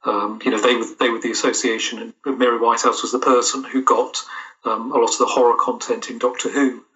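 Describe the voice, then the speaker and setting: Man, mature, bright forceful tone, thoughtful interviewee, slightly lo-fi sound. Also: medium pitch, flat delivery, a little fast. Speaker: audio drama producer, interview guest. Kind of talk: casual interview answers. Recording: dull, lo-fi call-like sound.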